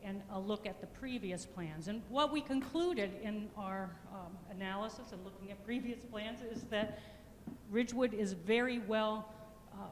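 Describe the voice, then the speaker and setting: A woman presenting a village master plan at a public meeting, speaking loudly with frequent fillers and restarts. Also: mid-range voice. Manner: self-described loud voice, halting with fillers. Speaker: planning consultant. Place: municipal meeting room. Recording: echoey meeting hall with faint noise.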